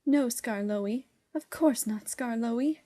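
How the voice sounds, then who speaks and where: A man's voice: lively intonation, slow, dark tone, heavily acted. A man doing whiny, spiteful railway coaches. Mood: meek. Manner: whiny, high and thin, meek when scolded.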